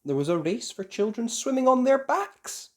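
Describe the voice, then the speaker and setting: A children's story reader giving expressive scripted narration in a deliberate, sing-song way aimed at young children. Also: medium pitch.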